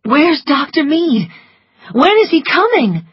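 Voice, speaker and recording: A woman's voice: high voice, big pitch swings, slow, no echo, lo-fi sound, pitched above the performer's own voice, heavily acted. A woman reading a story aloud, voicing a headstrong young lady who speaks urgently and gives orders. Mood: commanding. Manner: commanding, pleading, urgent.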